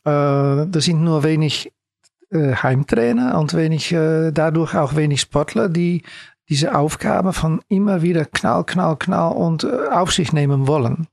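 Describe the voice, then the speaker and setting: A middle-aged man from the Netherlands with a Dutch accent, a native Dutch speaker answering interview questions in halting, hesitant phrases as a sports coach. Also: on the high side, creaky, dry recording, studio quality.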